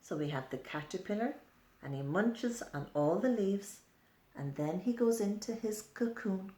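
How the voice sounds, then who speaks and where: Slow, animated woman storyteller in an echoey room. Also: medium pitch. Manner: simple, repetitive, questioning phrases for young children. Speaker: storyteller for children. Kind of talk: children's story time read-aloud with simple explanations. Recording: roomy, echoing indoor sound.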